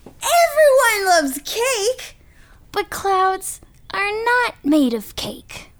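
Excitable high-pitched storytelling kid. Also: female voice, drawn-out words, dry recording, exaggerated voice. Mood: matter-of-fact, lively. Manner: theatrical, exuberant, acts out voices. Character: excitable young child telling tall tales about dads. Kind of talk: animated children's storytelling.

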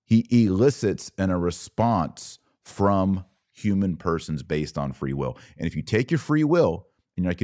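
A man's voice: on the low side, darkish tone. A man hosting a podcast, giving a blunt, informal religious commentary in an emphatic, preachy way. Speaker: podcast host.

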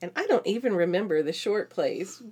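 A woman's voice: medium pitch, animated, moderate pace, warm tone, husky. A woman co-hosting a casual podcast in an agreeable, easygoing conversational way. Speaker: podcast co-host.

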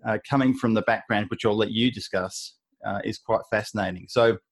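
A man's voice: on the low side, steady tone, brisk. A man hosting a business podcast, talking in a chatty, rambling way with many restarts as he introduces a guest. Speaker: podcast host. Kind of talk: podcast interview.

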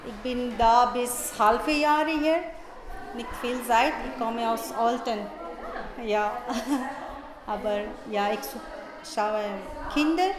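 Slow childminder in echoey crowded room. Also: female voice, on the high side, background noise. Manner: short, broken sentences.

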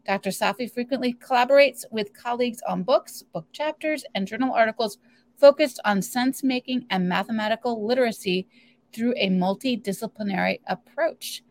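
A woman hosts an online meeting, welcoming everyone warmly before she reads the announcements and the speaker's biography at a steady pace. Her voice sits a little above average in pitch. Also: normal speed. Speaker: webinar host. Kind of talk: reading an introduction.

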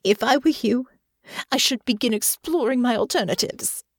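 A woman's voice: on the high side, expressive, normal speed, no echo, studio quality, pitched above the performer's own voice. A woman voicing a proud, wounded young noblewoman in an audiobook, imperious and angry, tightly controlled or sharply snapping. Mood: cold fury. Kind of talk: audiobook character acting.

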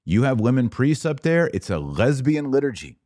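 A man hosting a podcast, giving a religious explanatory monologue in a didactic, emphatic way, pausing and repeating words for stress. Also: mid-range voice, sing-song, mellow, no room sound, studio quality. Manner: didactic, emphatic, with deliberate pauses and repetition for stress. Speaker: podcast host.

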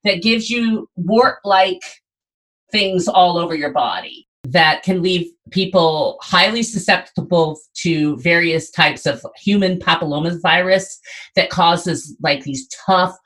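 A woman's voice: on the low side, slow, a bit bright, rough recording. A woman hosting a casual podcast quiz, explaining things in a conversational way. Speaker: podcast host quizzing a guest.

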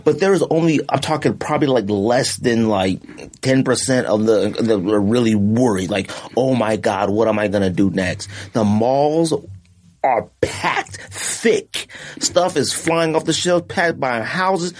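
Man, podcast co-host, animated, slangy, argumentative, casual chat. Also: medium pitch, measured pace.

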